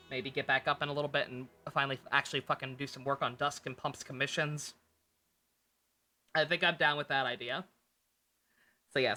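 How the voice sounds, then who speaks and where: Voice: medium pitch, sharp tone. A tired livestreamer chatting casually to viewers, rambling and thinking aloud with frequent swearing. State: tired, short on sleep. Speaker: game livestreamer.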